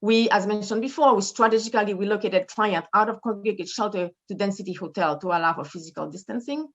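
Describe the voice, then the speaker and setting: Woman, French Haitian accent, medical director presenting, measured and formal, mid pitch, slightly dull video call sound. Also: a bit bright. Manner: measured, formal, reading data with occasional fillers. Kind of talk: conference presentation. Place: in front of a brick wall indoors.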